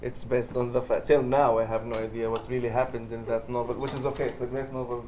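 A man in his forties, a native Arabic speaker with a Palestinian Arabic accent, talking on a university panel in a halting way, full of fillers and restarts, with wry humor. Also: medium pitch, flat delivery, a little mellow. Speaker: novelist on a panel. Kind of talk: casual panel talk. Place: university lecture hall. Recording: echoey hall with background noise.

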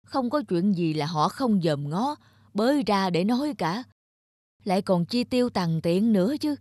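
A woman's voice: lowish voice, warm tone, no echo, clean studio sound. A woman voicing a grumpy, aloof middle-aged male neighbour in a cartoon, grumbling and muttering to himself. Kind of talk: cartoon voice acting.

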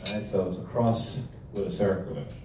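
An older man with an Irish accent gives an informal, humorous slide lecture. His voice is dark and relaxed, wandering drily through his anecdotes. It is captured at some distance in a strongly reverberant room with faint noise. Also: deep voice, fairly quick. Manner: rambling, wry storytelling. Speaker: club talk speaker. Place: indoor hall with projection screen. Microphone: handheld or stand microphone, recorded from audience camera. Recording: echoey room, distant mic, noisy background.

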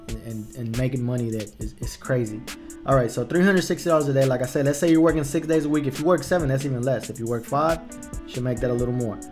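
A young man from Texas sits at a desk mic in a small room, walking "you guys" through haircut prices and yearly income in a relaxed, conversational tutorial. He talks the way he would to a friend and often stumbles over a word twice while he thinks. Faint music plays underneath. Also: mid-range voice. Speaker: barber teaching business. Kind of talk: casual screen-share tutorial. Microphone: desk microphone at a computer, webcam in corner.

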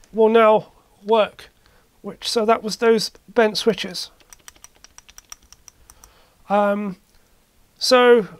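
Someone narrates a hands-on repair at a slow pace, with a medium pitch. The delivery is casual and hesitant, like a person thinking aloud while working through each step. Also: clean tone. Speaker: repair video maker. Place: indoor electronics workshop.

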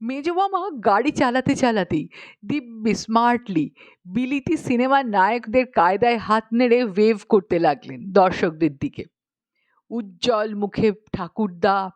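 A woman's voice: mid-range voice, no room sound. A woman audiobook narrator reading a humorous story expressively.

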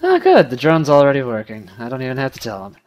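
Man, game video host, casual commentary, playful teasing, animated swings in pitch. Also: quick pace. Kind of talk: casual gaming commentary with a friend. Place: indoor room at a computer.

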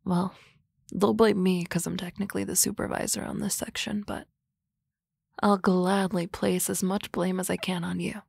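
A woman voicing a sardonic, teasing office-lady boss in an ASMR roleplay, with dry banter and soft whispery asides on a close mic. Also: on the low side, level tone, moderate pace, gravelly, slightly rough, dry recording, heavily acted.

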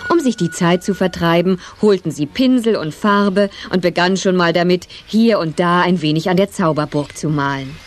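A woman narrates a children's story in a fairly low voice. It is scripted storytelling, with clear music behind her. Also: moderate pace, hardly any pauses.

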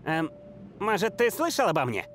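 A man's voice: high-pitched, big pitch swings, moderate pace, a bit bright, a little hiss or hum. A man voicing an eager, geeky superfan who fancies himself a motivational coach, gushing in a cartoon. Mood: starstruck. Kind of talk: animated cartoon acting.

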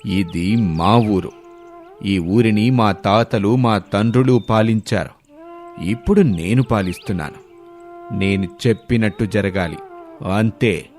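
Man voicing a deep, stern village chief. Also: slow pace, long pauses, darkish tone, faint music behind. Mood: angry, domineering. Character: stern, proud middle-aged male village chief.

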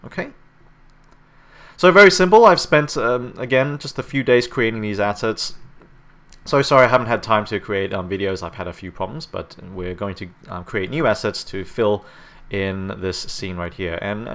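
A man with a stuffy hay-fever voice, talking through a game-art tutorial while he works, rambling and correcting himself often. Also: on the low side. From Australia. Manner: rambling, self-correcting, frequent "okay?" and "um". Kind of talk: screen-recorded tutorial narration. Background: soft background music.

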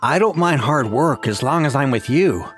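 A man playing a confused, innocent young boyfriend in a narrated story, speaking softly with sweet, trusting puzzlement. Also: mid-range voice, expressive, normal speed, soft background music, natural voice. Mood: devoted. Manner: soft, puzzled.

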